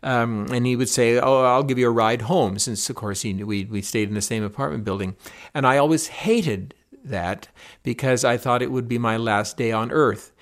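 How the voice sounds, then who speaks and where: A man's voice: medium pitch, normal speed. Man recalling a colleague, dry and wry. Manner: dry, wry storytelling with hesitations. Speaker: broadcaster and commentator. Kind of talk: reminiscing interview.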